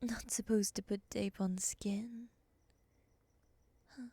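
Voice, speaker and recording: A woman playing a soft-spoken, menacing moth-human monster in an ASMR roleplay, hushed, formal and condescending. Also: medium pitch, fairly even intonation, takes it slowly, breathy edge, no echo. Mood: coolly polite.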